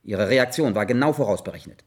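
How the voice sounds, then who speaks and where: A man's voice: mid-range voice, fast, natural voice. An actor playing an earnest, methodical male investigator in a German radio play, speaking formally, precisely and insistently. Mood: earnest, accusing.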